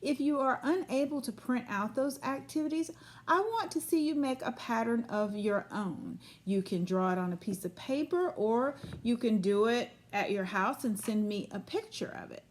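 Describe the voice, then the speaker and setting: A woman's voice: fairly high pitch, lively intonation, moderate pace, slight background noise. A woman teaching a children's lesson on video, speaking in simple, repetitive phrases and prompting with questions. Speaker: early grade school teacher.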